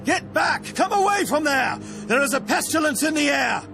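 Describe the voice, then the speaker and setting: A man playing a dutiful, earnest army officer in a fantasy video game, with brisk military delivery. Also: medium pitch, music in the background, natural voice. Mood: alarmed. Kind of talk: video game cutscene acting.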